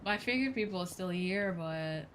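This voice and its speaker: A woman's voice: on the low side, expressive, moderate pace, fairly bright tone, slight background noise. A woman live-streaming a video game, chatting informally with viewers and thinking aloud with exclamations.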